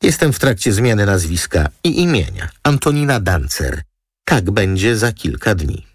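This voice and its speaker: A man's voice: lowish voice, very animated, normal speed, breathy edge, no echo, studio quality, pitched above the performer's own voice. A man voicing a gushing, theatrical young female model with a cooing, coquettish manner in an audiobook. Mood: breezy.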